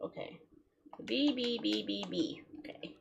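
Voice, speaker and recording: A woman's voice: mid-range voice, a little slow, gravelly, lo-fi sound, slight background noise. A woman hosting a video game livestream, chatting casually with viewers in a playful, giggly way. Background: music.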